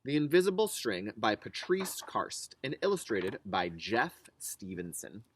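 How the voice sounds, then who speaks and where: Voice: medium pitch, big pitch swings, a little slow, slight background noise. A kindergarten teacher leading a read-aloud lesson for young children, instructive, with simple wording and repeated key phrases.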